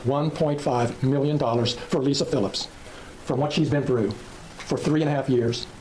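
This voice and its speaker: A man, a lawyer, giving a formal and measured closing argument to a jury in court. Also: mid-range voice, a little mellow, slight background noise, rough recording. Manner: measured and formal, listing demands.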